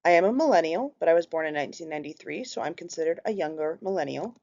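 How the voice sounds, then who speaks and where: A woman in her thirties with a Minnesotan accent and a fairly low voice reads steadily through a prepared class presentation. She sounds earnest and keeps an even, scripted delivery. The recording is slightly muffled and lo-fi. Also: fast talker. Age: 30s. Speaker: student presenter.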